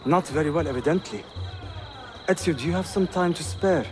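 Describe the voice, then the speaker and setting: A man's voice: on the high side, brisk, darkish tone, soft background music, close to an everyday voice. A man, playing a courteous, shrewd seventeen-year-old Ottoman prince in a video game, composed and assured. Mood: composed, earnest. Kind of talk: cinematic game acting.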